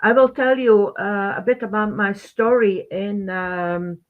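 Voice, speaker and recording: A middle-aged woman from Belgium, a native Dutch speaker with a Belgian Dutch accent, presenting a webinar informally in halting, non-native phrasing. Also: mid-range voice, steady tone. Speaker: webinar host, teacher. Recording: thin phone-like band-limited webinar audio.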